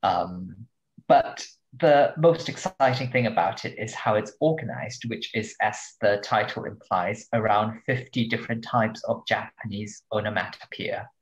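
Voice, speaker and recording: A man's voice: on the low side, measured pace, muffled recording. A male translator guest talking about books in a thoughtful, hesitant way with many ums. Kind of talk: casual podcast interview.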